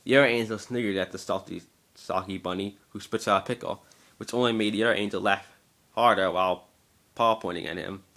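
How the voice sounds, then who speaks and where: A man's voice: on the low side, fairly even intonation, a little fast, fairly bright tone. A man giving an amateur scripted narration of a story, now and then stumbling over the words.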